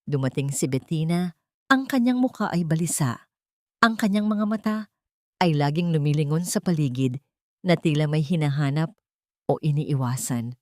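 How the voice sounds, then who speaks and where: Low-voiced woman narrating a drama. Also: lively intonation, normal speed, long pauses, darkish tone, no echo, studio quality.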